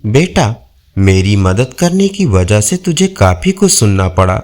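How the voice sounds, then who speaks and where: A man's voice: fairly low pitch, big pitch swings, unhurried, darkish tone, pitched below the performer's own voice. A man voicing a kindly, all-knowing old village woman who reassures like a mother in an audio folk tale. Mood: grateful.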